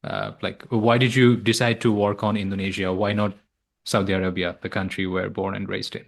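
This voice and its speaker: Low-voiced man hosting a podcast. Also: flat delivery, a little fast, vocal fry. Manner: encouraging, says "wonderful" often. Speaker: podcast host, interviewer. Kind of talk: conversational interview.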